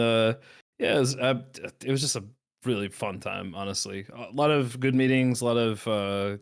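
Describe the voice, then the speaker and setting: A man chatting upbeat as a podcast guest, keeping some things guarded. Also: fairly low pitch. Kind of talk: casual podcast chat.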